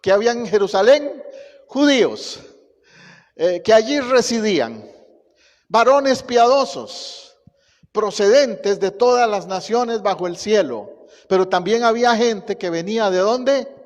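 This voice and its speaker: High-voiced Costa Rican pastor preaching. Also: male voice, measured pace, big-room sound, dull audio. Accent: Costa Rican Spanish. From Costa Rica. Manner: storytelling, animated, laughs at own anecdotes. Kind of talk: sermon. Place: church sanctuary.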